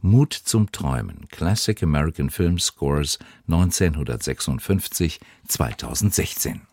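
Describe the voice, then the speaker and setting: A man's voice: very deep voice, expressive, measured pace, a little mellow, no room sound, clean studio sound. A man reading a scripted introduction to a radio play as a broadcast announcer. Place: dry broadcast studio.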